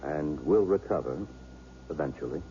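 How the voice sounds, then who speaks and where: Blunt hospital doctor: very deep, mellow, measured; dull vintage radio drama recording. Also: male voice. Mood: matter-of-fact. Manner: measured, matter-of-fact. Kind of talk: 1970s radio drama acting. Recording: dull old radio recording with faint hiss.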